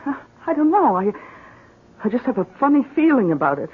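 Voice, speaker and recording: An actress playing a polite, worried wife in a 1970s radio drama, gentle and hesitant. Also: female voice, on the high side, very animated, a little fast, slightly rough. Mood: worried, uneasy. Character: polite, worried, uneasy wife of a moody painter. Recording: muffled, tinny 1970s radio broadcast.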